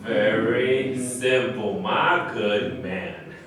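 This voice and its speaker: A proud, boastful former sports star played by a man in a staged play reading. He speaks emphatically at a middle pitch, heard from far off in a very echoey room with faint noise. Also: very slow.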